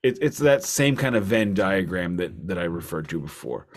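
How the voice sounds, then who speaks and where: A man co-hosting a podcast, casually discussing albums in a wry, deliberate way, repeating phrases for emphasis. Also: deep voice, very animated. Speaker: podcast co-host reviewing albums.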